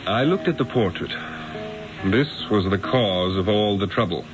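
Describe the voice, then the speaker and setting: An actor playing a wily, eloquent Renaissance painter in a radio drama, speaking in a theatrical, persuasive, rhetorical way. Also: male voice, fairly low pitch, lively intonation, measured pace. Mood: wry, brooding. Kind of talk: old-time radio drama acting and first-person narration. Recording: dull lo-fi radio recording, music behind.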